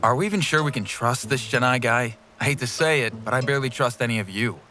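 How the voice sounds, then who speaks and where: A man voicing a cool, distrustful teenage boy in a cartoon parody, terse, deadpan and sardonic. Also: mid-range voice, fast talker, lightly acted. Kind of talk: comedic animated parody voice acting.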